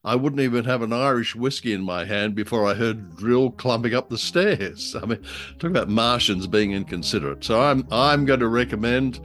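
A man's voice: medium pitch, lively intonation, moderate pace, a bit bright. A man co-hosting a casual discussion show, talking hesitantly with wry humour. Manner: hesitant, wryly humorous storytelling. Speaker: podcast co-host. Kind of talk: casual discussion of a radio drama.

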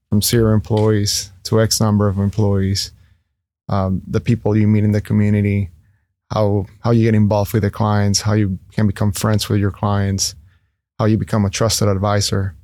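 A man's voice: on the low side, completely flat delivery, normal speed, darkish tone, no echo. A man in his thirties from Ecuador, a guest in a casual interview, talking earnestly with many fillers and restarts. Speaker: guest, entrepreneur.

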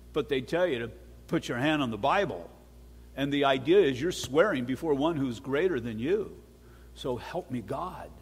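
Guy preaching a sermon, kinda high voice, big echoey hall, gets animated. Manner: animated preaching, repeats words, acts out courtroom voices. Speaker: pastor. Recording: long hall reverb.

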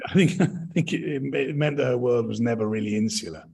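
A man's voice: medium pitch, fairly quick, creaky, a little mellow, husky. A middle-aged British man answering conversationally in an interview, rambling and often rephrasing himself mid-sentence. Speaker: interview guest, touring actor and director.

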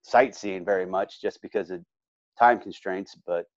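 A man's voice: low-pitched, normal speed, no room sound, highs cut off. A man, an assistant wrestling coach, talking in an easygoing, matter-of-fact way in a casual interview.

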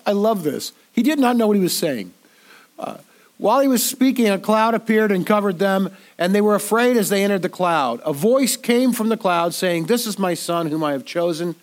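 A man preaching a sermon in a relaxed, conversational way while congested and a little nasal from a cold, often repeating words as he thinks aloud. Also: high voice, moderate pace. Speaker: pastor.

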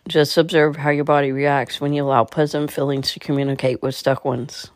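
A woman leading a guided meditation in a calm, measured, soothing manner. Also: low-pitched, no echo.

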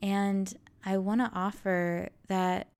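Young woman, podcast host, slow unhurried delivery, earnest, encouraging, mid-pitched voice. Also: flat delivery, darkish tone, clear, resonant tone. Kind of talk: reflective solo podcast monologue.

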